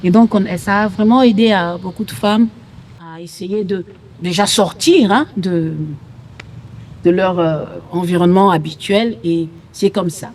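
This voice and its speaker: Middle-aged female interview guest, Congolese French accent, dark mellow timbre, light background noise. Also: mid-range voice, a little slow. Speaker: gospel singer, interview guest. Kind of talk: unscripted interview talk.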